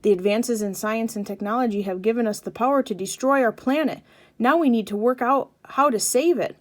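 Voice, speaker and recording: A woman voicing a passionate father and campaigner in a storybook, making an urgent, loud speech. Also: fairly high pitch, brisk, dry recording, natural voice. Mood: rousing.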